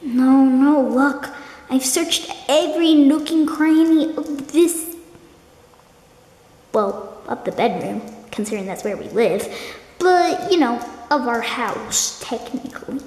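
A woman voicing a whiny, indignant young sock puppet in a homemade puppet show, with dramatic, drawn-out complaints. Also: sits high, darkish tone. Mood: fretful. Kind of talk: improvised amateur puppet show dialogue. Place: indoors, room at home with wooden floor. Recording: echoey hard-floored room, faint hiss.

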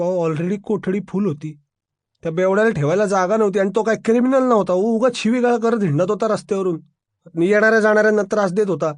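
A man's voice: sits high, a little mellow, no echo, clean studio sound. A man voicing a frightened, flustered police constable in a crime audio drama, stammering, whiny and repeating himself as he makes excuses.

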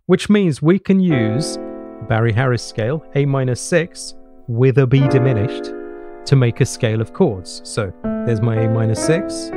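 A middle-aged man teaches piano informally, with piano notes sounding clearly behind him. His voice sits at an average pitch, close on a studio mic. He explains as he goes, thinking aloud and tossing in short questions to check understanding. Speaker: piano teacher, host of online jazz lessons. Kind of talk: informal spoken music lesson, thinking aloud while demonstrating. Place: small home study with bookshelf, indoors. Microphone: studio mic on boom arm close to the mouth. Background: piano playing.

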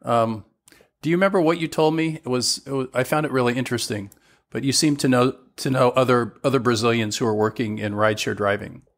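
A man hosting an interview podcast, asking halting questions and giving steady encouraging affirmations. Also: mid-range voice. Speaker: podcast host. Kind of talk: conversational interview.

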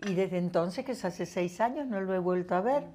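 An older woman, a guest actress at a studio lunch table, telling stories with lively energy and repeating words when excited. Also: on the low side, a little fast. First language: French. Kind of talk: casual chat at a lunch table. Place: TV studio dining set.

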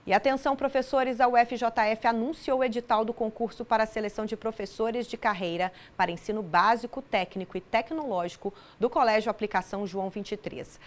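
A woman, presenting scripted news in a formal, clearly articulated way from a TV studio on a lapel mic. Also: fairly high pitch, a little fast, few pauses, a little hiss or hum.

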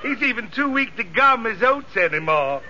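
Casting: drawling Southern country horseman, high voice, easygoing salesman; old radio sound, heavy noise. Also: big pitch swings, normal speed. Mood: reassuring, droll. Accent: Southern American. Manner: folksy, drawling. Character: folksy, easygoing country horse-stable man, reassuring salesman. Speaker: riding stable hand. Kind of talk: 1940s radio comedy acting. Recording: muffled, very noisy old radio recording.

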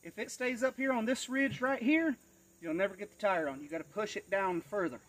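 American male DIY demonstrator, high-set voice, folksy and instructional while working. Outdoor camera sound with light insect ambience. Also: a little fast. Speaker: do-it-yourself demonstrator. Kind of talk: casual hands-on tutorial. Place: outdoors in a cluttered rural yard. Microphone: camera a few feet away. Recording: outdoor camera audio, faint insect noise.

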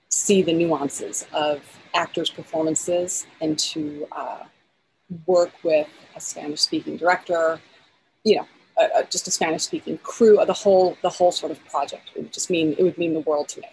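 A woman in her forties talks eagerly on a video call, her voice sitting a little low. She restarts her sentences often as her thoughts run ahead. Faint outdoor ambience hums behind her. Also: a little mellow, a little hiss or hum, rough recording. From United States. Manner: enthusiastic, many restarts and fillers, talks with her hands. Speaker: film editor, guest. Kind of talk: casual interview over video call. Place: outdoors in a backyard.

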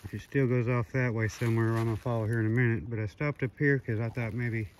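Male outdoor vlogger, medium pitch, winded from walking, casual explorer narration, noisy handheld recording. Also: fairly even intonation, fast talker. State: out of breath from walking. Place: outdoors in woods and hillsides.

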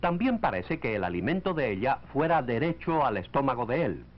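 A man reading scripted narration for a 1940s educational film, in a measured, didactic way. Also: mid-range voice, sing-song. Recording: dull lo-fi 1940s film soundtrack.

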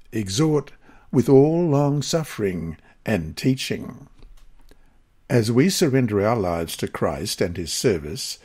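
A man with a husky voice reads a scripted devotional lesson aloud in a measured, careful manner. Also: on the low side, dark tone. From Australia. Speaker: lesson reader.

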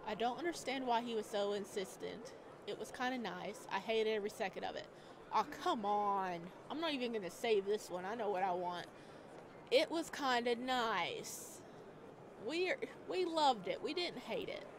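A woman reading a visual novel aloud, switching between calm narration and animated, giggly asides to her viewers. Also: on the high side, moderate pace, a crowd in the background. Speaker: let's play video creator.

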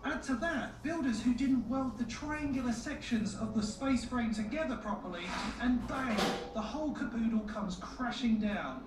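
A woman's voice: fairly high pitch, normal speed, hardly any pauses, far from the mic, noisy background. A woman reading scripted narration for a television documentary. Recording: echoey TV re-recording from afar, music. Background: music.

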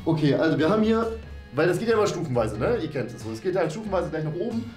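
A young man hosts a casual game video in a rather high voice. His intonation swings widely as he thinks out loud. The room echoes around him and music plays underneath. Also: very animated, fast. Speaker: game show host and player. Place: small decorated room indoors. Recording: roomy indoor echo, music underneath. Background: background music.

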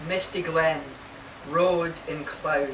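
Slow-spoken man reading poetry, echoey. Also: on the high side, fairly even intonation. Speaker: poet reading own work. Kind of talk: live poetry reading, short measured phrases. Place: marquee with a small seated audience. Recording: distant, echoey, noisy live recording.

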